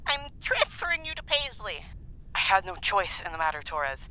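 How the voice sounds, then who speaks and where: A woman's voice: mid-range voice, sing-song, moderate pace, sharp tone, low-quality recording. A woman playing an evasive, officious twelve-year-old girl on the telephone, curt and secretive, in a comic audio drama.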